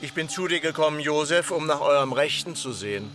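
An actor playing an officious, insistent village mayor in a radio drama, admonishing in a formal, repetitive way. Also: male voice, on the high side, animated, brisk, a bit bright, slight background noise. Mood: officious reproach. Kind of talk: literary radio drama acting.

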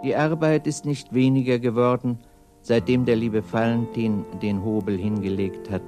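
A man's voice: mid-range voice, steady tone, moderate pace, over music. A man giving a scripted recitation of literary poetry. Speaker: reader of poems.

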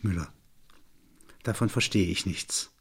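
A man's voice: low-pitched, measured pace, slightly rough. A man reading a literary dialogue aloud and commenting on it.

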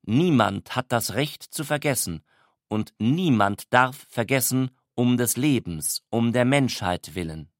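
A man's voice: mid-range voice, animated, unhurried, no echo, clean studio sound. A man reading scripted documentary-style narration in a formal, matter-of-fact manner.

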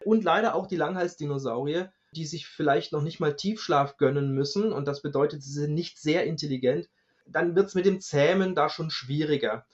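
A man explaining things as a guest researcher, measured and matter-of-fact. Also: on the high side. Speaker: dinosaur researcher, guest expert. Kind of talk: explanatory interview answers. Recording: slightly dull, lo-fi sound.

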